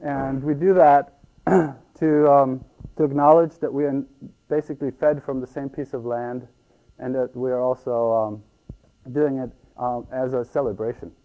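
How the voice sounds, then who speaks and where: A man from Ecuador giving a conference talk as a farmer, halting with many ums and restarts. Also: medium pitch, steady tone, muffled recording.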